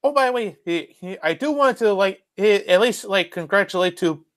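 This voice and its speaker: A podcast host chatting casually in an unscripted interview, halting and hesitant, circling back on phrases. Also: mid-range voice, lively intonation.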